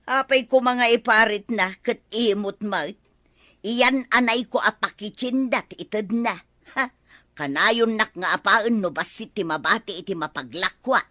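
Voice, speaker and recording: A woman's voice: medium pitch, measured pace, sharp tone, no room sound, studio quality. A woman playing an aggrieved, feisty village wife in an Ilocano radio comedy drama, speaking emphatically and expressively with an Ilocano accent. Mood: aggrieved, scolding.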